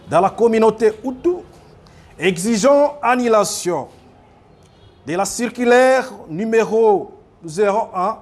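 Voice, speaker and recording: A man with a Congolese French accent, formally reading out a declaration as a spokesman in a halting, deliberate way. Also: high-pitched. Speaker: spokesman reading a youth group's statement.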